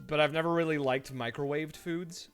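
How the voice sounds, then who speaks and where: A man streaming live, chatting casually to viewers and telling stories in an animated way, acting out his reactions. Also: a little high, moderate pace, clean tone. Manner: animated storytelling, mimics his own reactions; laughs easily while talking. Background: background music.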